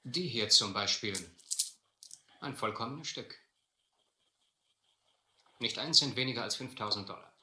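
Canny sea adventurer in an old radio play, very crisp forceful tone, echoey w/ a bit of hiss. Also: male voice, mid-range voice, a little slow, long pauses. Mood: coolly confronting. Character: shrewd, adventurous seafaring man, quietly probing. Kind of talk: radio drama acting, first-person narration and dialogue. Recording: roomy, slightly noisy old radio-play recording.

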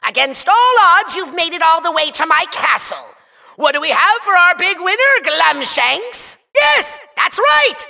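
A man voicing a petty, boastful, tantrum-prone villain overlord in a video game, theatrical, taunting and whiny, with a shrill nasal voice. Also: big pitch swings, moderate pace, creaky, sharp tone, exaggerated voice. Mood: scornful, gloating. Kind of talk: over-the-top video game villain acting.

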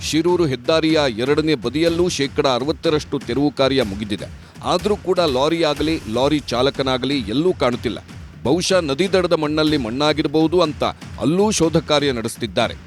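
A man's voice: fairly high pitch, a little fast. A man giving a scripted, emphatic and dramatic news report. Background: music bed.

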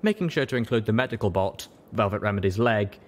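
A man's voice: fairly low pitch, lively intonation, fast talker. A man reading scripted story narration as an audiobook narrator. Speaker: audiobook reader.

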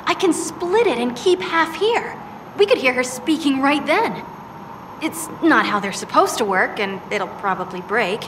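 Chirpy dwarf tinkerer, high-ish and rambly, noise behind. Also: female voice, animated, a bit bright. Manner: chatty, rambling, quick. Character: cheerful female dwarf arcanist, quick and excitable.

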